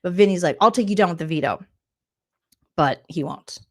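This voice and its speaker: A woman's voice: fairly low pitch, normal speed. A woman comedian recapping a reality show, mocking the players and slipping into exaggerated voices. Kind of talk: comedic recap with quoted impressions.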